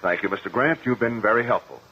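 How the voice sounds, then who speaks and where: An actor playing a calm, methodical lawyer with a formal, probing manner in a 1950 radio courtroom drama. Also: male voice, on the low side, quick pace, close to an everyday voice. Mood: formal, measured. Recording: muffled, hissy old radio recording.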